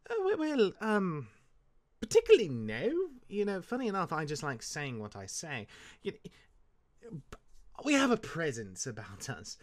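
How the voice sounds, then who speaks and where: An actor playing a cocky, jaded demigod of misfortune mentoring his younger brother in a fantasy drama, smooth-talking and wry, with dramatic turns. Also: a little high, wildly swooping pitch, a little slow. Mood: animated, explaining. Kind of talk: character acting in fantasy audio drama.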